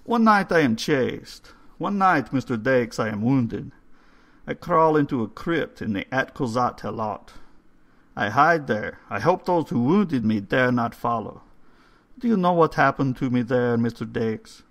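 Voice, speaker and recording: A man voicing an old undertaker who speaks in a formal, slow and ominous way. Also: medium pitch, expressive, fairly bright tone, pitched above the performer's own voice. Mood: haunted. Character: old Hungarian male undertaker, courtly and eerie.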